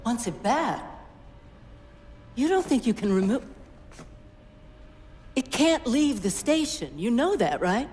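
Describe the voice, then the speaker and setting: Anxious woman scientist, highish, stammers, reverberant mix with music. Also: big pitch swings, slow, long pauses, darkish tone, a little hiss or hum. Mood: flustered. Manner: flustered, hesitant, stammering. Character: anxious female station scientist. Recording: game cutscene, long reverb, music.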